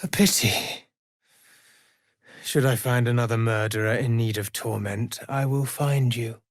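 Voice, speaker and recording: An actor playing a mysterious, brooding male avenger in a video game, speaking in grave, measured, formal phrases with a gravelly, hushed edge. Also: medium pitch, fairly even intonation, no room sound, clean studio sound, lightly acted. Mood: solemn, musing. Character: mysterious, brooding male avenger who hunts and torments murderers. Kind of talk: dramatic video game voice acting.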